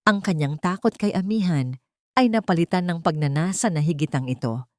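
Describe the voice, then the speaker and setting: Woman, dramatic audiobook narration, slightly low voice, close dry sound. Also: moderate pace, a little mellow, no echo. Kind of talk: scripted dramatic story narration.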